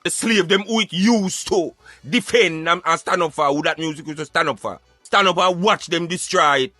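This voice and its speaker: A man's voice: fairly high pitch, normal speed, pushed and bright, no echo. A man speaking Jamaican Patois in an emphatic, argumentative, preaching way, as in opinionated talk show commentary. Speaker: radio show host.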